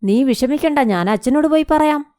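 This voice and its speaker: A woman's voice: high voice, animated, moderate pace, dark tone, no echo, studio quality. A woman playing a caring, protective middle-aged mother who speaks soothingly in a cartoon moral story. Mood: concerned, soothing.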